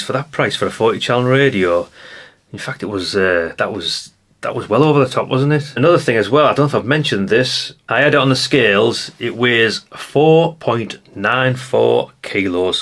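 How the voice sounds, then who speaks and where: A man's voice: medium pitch, fairly bright tone. A British man giving a casual hands-on walkthrough as a presenter, chatty and rambling, often tagging questions onto his remarks. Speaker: presenter of a vintage radio channel.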